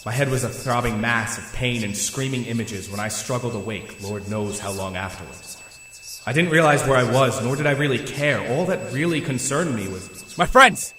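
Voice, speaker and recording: A man's voice: medium pitch, lively intonation, moderate pace, pushed and bright. A man playing an earnest, troubled young superhero team leader, commanding and melodramatic, in a fan audio drama. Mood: anguished. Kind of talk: fan audio drama acting with first-person narration. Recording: long reverb, faint background noise.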